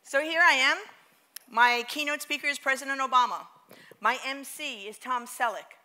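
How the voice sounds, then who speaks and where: A middle-aged woman with a New York accent giving a lively motivational talk on a theater stage, drawing the audience into call-and-response. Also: high-pitched, moderate pace. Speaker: keynote speaker.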